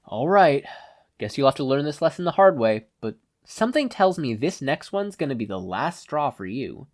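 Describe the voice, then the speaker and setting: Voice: mid-range voice, very animated, normal speed, no room sound, studio quality, pitched above the performer's own voice, lightly acted. Teasing, knowing inner conscience, voiced by a reader. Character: wry, teasing inner conscience of a teenage boy.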